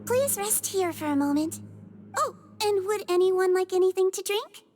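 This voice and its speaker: A sweet, fussy little head nurse in a video game. Her voice is extremely high, polite and solicitous, with music behind it. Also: lively intonation, normal speed. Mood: caring, gently fussy. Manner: polite, solicitous, gently instructive. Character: small sweet caring female head nurse, gently fussy. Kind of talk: video game character voice acting.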